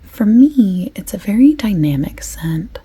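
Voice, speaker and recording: A woman doing a soft-spoken ASMR shop assistant roleplay, often slipping into a breathy whisper as she explains things in chatty, unhurried detail. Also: medium pitch, big pitch swings. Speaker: ASMR creator playing a perfume shop assistant. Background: light clicking and tapping of items.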